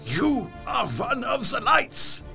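An actor playing an effusive, courtly older foreign doctor in a gothic audio drama, with florid, earnest phrasing. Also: male voice, very high-pitched, wildly swooping pitch, slow pace, gravelly, bright, forceful tone, faint music behind, heavily acted. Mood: delighted, effusive.

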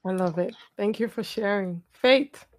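A woman's voice: mid-range voice, unhurried. A woman moderating a panel discussion, enthusiastic and affirming as she introduces questions.

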